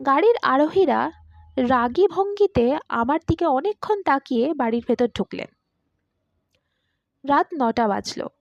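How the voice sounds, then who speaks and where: High-voiced woman reading a story. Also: vocal fry, no echo, dull audio. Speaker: story reader. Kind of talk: expressive scripted narration of a literary short story.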